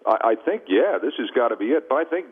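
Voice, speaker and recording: A man's voice: mid-range voice, very animated, fast talker, slightly rough. A man talking sports as a radio guest, casual and emphatic, often repeating himself, through a muffled narrow-band line. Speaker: sports broadcaster, studio guest. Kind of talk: casual sports talk banter.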